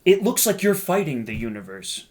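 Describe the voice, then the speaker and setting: A man's voice: a little high, very animated, normal speed, a little mellow. A man co-hosting a podcast, joking and teasing his friends in casual chat. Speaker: podcast co-host.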